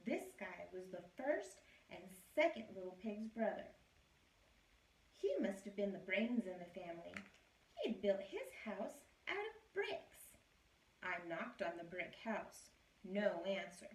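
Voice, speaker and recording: A woman's voice: medium pitch, very animated, a little slow. A woman teacher reading a storybook aloud in the voice of a male wolf who claims he was framed, telling it in a chatty, wry, self-justifying way. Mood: aggrieved, chatty. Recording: roomy echo, distant mic.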